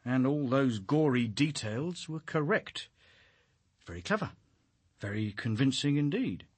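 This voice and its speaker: Wry, husky police inspector. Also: male voice, mid-range voice, lively intonation, no room sound. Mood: wry, pointed. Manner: deliberate, dryly sardonic. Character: wry, shrewd police chief inspector, deferential on the surface. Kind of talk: radio drama acting.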